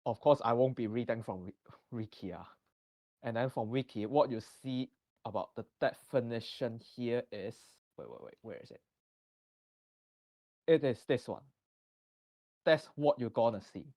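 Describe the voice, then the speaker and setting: Man, Hong Kong accent, math presenter, halting and hesitant, mid-pitched, dry close sound. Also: animated, darkish tone, no room sound. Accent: Hong Kong English. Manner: halting, many restarts and fillers. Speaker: guest presenter. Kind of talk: math lecture with slides.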